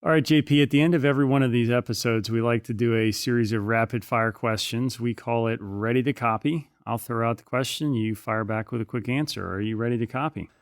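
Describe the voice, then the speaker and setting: A man hosting a podcast, asking a guest brisk, friendly interview questions. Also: mid-range voice, no room sound, clean studio sound. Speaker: podcast host. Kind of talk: interview questions, rapid-fire segment.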